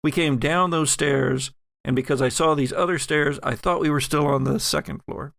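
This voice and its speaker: A man narrating a tabletop horror game as game master, with halting, deliberate scene-setting. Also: on the high side, steady tone, vocal fry, a bit bright, dry recording.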